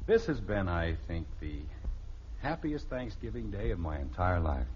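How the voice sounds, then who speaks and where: Deep, genial American family father. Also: sing-song, normal speed, a little hiss or hum. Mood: solemn, grateful. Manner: reassuring, gently authoritative. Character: genial middle-aged family father, calm, wry and fond. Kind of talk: 1950s American radio family comedy acting. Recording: dull old radio recording.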